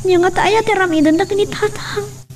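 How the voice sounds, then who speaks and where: A woman's voice: very high voice, brisk. Woman playing an anxious young daughter. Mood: worried. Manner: anxious, tearful. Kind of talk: radio drama acting. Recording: distant mic with faint noise.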